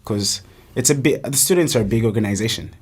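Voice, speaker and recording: Young man, from Uganda, college athlete, casual podcast talk, earnest, mid-pitched voice. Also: fast, slightly rough. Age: young adult. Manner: earnest, animated storytelling with many restarts. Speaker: college soccer player, guest.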